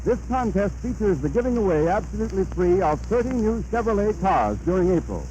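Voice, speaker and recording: A man's voice: high-pitched, big pitch swings, a little fast, mellow, highs cut off. A man announcing a scripted sponsor message in the style of 1930s radio. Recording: noisy vintage 1930s radio recording.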